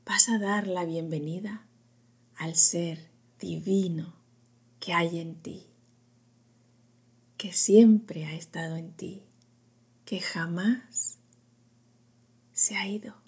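Very breathy, slow woman guiding meditation. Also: fairly low pitch, fairly even intonation. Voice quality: breathy, whispery. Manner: slow, hushed delivery in short phrases with long pauses. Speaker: meditation guide. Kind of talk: guided meditation, soft and soothing.

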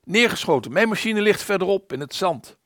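Acteur als jonge, hoge piloot, kameraadschappelijk. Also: male voice, sits high, lively intonation, fairly quick, fairly bright tone, no room sound, studio quality. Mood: calm, caring. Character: Pieter, loyal British fighter pilot, jovial and protective. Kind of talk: radio play acting.

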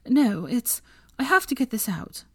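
A woman reads as a shrewd young woman in a storybook, resolute and coolly assertive. The pitch moves freely, and the sound is close and dry. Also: big pitch swings, unhurried, a bit bright, slightly rough, no echo, exaggerated voice. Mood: feigned tearful.

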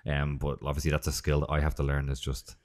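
A man's voice: rumbling low, monotone, fast. A man with an Irish accent hosting a podcast in an informal, bantering, chatty way. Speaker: podcast host. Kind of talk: casual chat.

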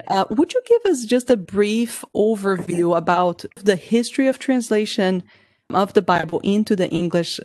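A woman whose first language is Portuguese, interviewing a guest in an articulate, measured way with casual fillers. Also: fairly low pitch, a little mellow, dry recording, studio quality. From Portuguese-speaking country. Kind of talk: academic interview.

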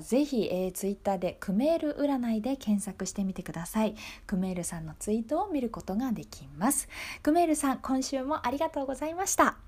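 High-voiced woman hosting a radio show. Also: a little mellow. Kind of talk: radio programme presenting with scripted segments.

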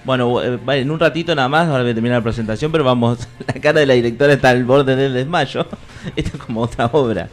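Male comedy radio host, medium pitch. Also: fast talker, hardly any pauses, faint music behind. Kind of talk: casual comic radio presenting.

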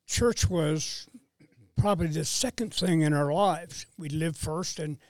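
A man in his seventies, a retired father speaking as a podcast guest, slow and plain-spoken in casual conversation. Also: a little high, no room sound. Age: 70s.

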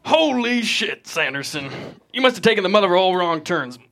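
Man playing blustering high-voiced veteran car dealer. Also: sing-song, fairly quick, a bit bright, exaggerated voice. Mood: mocking bluster. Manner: belligerent, rambling, stumbles over lines. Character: blustering, foul-mouthed Vietnam veteran car dealer in his fifties. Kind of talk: comedy script table read.

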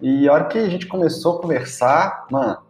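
Mineiro podcast host guy, excited and slangy, echoey room, faint guitar behind. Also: a little high, brisk. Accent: Brazilian Portuguese, Minas Gerais. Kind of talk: casual podcast chat.

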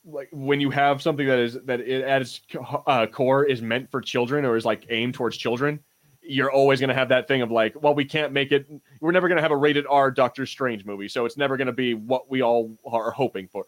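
A man co-hosting a podcast, chatting casually in a halting, rambling way with repeated false starts. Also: medium pitch, fairly even intonation. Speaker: podcast host. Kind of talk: casual pop-culture banter.

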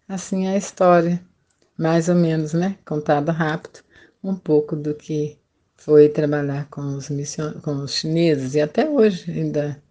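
Older Brazilian woman, retired missionary giving a conversational testimony. Low pitch, soft-edged mellow tone. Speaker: retired missionary, podcast guest. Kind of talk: personal testimony, conversational storytelling.

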